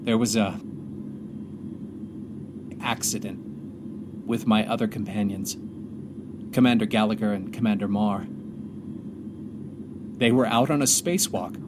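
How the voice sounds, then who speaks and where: A man playing a weary, grieving astronaut commander stranded alone, speaking an earnest, halting audio drama monologue. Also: fairly low pitch, noisy background, lightly acted. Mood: somber.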